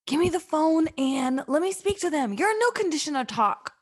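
Female performer as sarcastic, bossy young female companion, high voice, exasperated, comic radio play. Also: animated, normal speed. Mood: worried, bossy. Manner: sardonic, bossy. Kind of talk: comedic take on radio drama.

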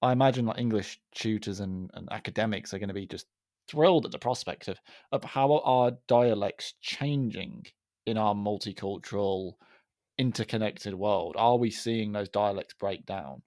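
Chatty Brummie man, slightly low voice. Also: normal speed, darkish tone, no room sound, clean studio sound. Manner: rambling, chatty, trails off mid-thought. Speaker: podcast co-host. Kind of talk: casual chat.

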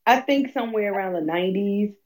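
A woman's voice: medium pitch, fast, darkish tone. A young adult woman talking candidly and bluntly in a casual conversation, with fillers and emphatic asides.

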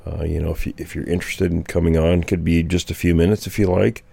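Very deep, hoarse-edged man chatting. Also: flat delivery, fast, dark tone, dry recording. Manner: clears throat often, meandering. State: losing his voice. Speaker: podcast co-host. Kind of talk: casual chat.

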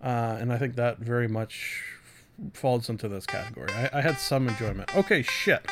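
A man hosting a podcast solo, giving casual reviews in a halting way, often interrupting and correcting himself. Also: mid-range voice, music in the background. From Canada. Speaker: podcast host.

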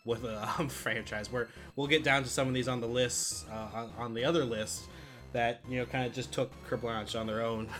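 A man with a bright, forward voice co-hosts a casual film countdown. He is chatty and swears freely. His pitch is ordinary, and light music plays underneath. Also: fairly even intonation, normal speed. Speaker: podcast co-host.

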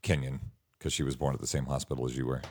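Low-voiced male host. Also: level tone, fast. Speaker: podcast host. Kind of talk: casual conversational interviewing.

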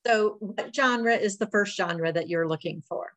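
A woman hosting a book podcast, introducing and interviewing a guest with quick, friendly interjections. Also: medium pitch, fairly bright tone. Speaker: podcast host. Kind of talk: casual interview chat.

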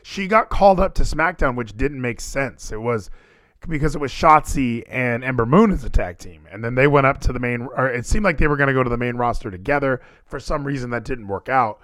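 A man talking casually and animatedly as a podcast co-host, full of quick asides. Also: medium pitch, expressive, fairly bright tone, no room sound, studio quality. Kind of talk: casual wrestling chat.

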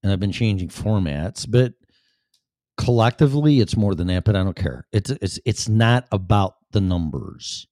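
A man's voice: lowish voice, expressive, normal speed, darkish tone, dry recording, studio quality. A man with a Chicago accent hosting his live-stream show in a chatty, profane, self-deprecating ramble. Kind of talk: casual rambling monologue.

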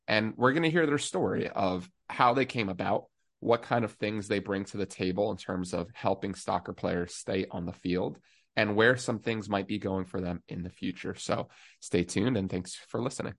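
A man's voice: fairly low pitch, moderate pace, gravelly, fairly bright tone. A young man co-hosting a podcast, upbeat and casual as he interviews a guest. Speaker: podcast co-host.

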